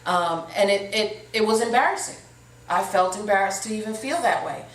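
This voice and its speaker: A woman's voice: mid-range voice, moderate pace. A woman of Puerto Rican background hosting a talk show, speaking earnestly and candidly about her own struggles and encouraging others to get help. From Puerto Rico. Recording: roomy sound from a distant mic.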